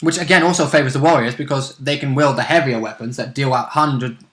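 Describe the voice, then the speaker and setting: A man's voice: medium pitch, a little fast, fairly bright tone. A man chatting casually as co-host, chiming in with clarifications about tabletop game rules. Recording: roomy, echoing room sound.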